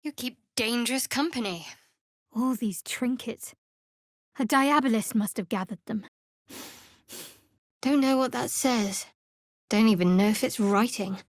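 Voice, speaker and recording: An actress playing a guarded, devout young cleric of a dark goddess in a fantasy video game, with a dry, wry, measured delivery. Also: female voice, fairly high pitch, no echo, lightly acted. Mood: matter-of-fact, guarded.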